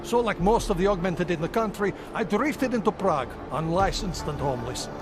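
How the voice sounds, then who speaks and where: An earnest rebel gatekeeper. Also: male voice, high-pitched, animated, a little fast, over music. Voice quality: earnest, admiring, reflective. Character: loyal augmented male rebel gatekeeper, earnest. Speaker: rebel guard. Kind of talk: video game cutscene acting.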